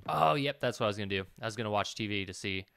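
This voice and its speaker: A man's voice: lowish voice, lively intonation, very fast, vocal fry, a bit bright, clean tone. A man in his thirties giving casual gameplay commentary, rambling and thinking aloud as he plays. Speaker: let's play host. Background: game music.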